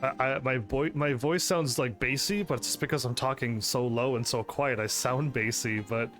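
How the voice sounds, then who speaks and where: A man streaming a video game, talking casually and pushing his voice up to sound lively and upbeat, laughing often. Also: normal speed, soft background music. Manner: energetic, projects his voice higher and louder; chatty, laughs often, restarts sentences. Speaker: gaming streamer. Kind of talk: casual let's-play commentary.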